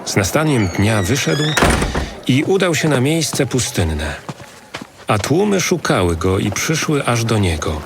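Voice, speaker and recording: A man narrating the Gospel in a measured, calm scripted reading. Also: mid-range voice, heavy background noise.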